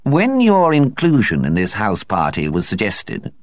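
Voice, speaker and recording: Aloof male cat, as a man puts him on, cool and unhurried, higher than the reader's own voice, dry. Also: wildly swooping pitch, dry recording, heavily acted. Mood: cool disdain. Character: coldly indifferent aloof talking male house cat. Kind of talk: storybook reading.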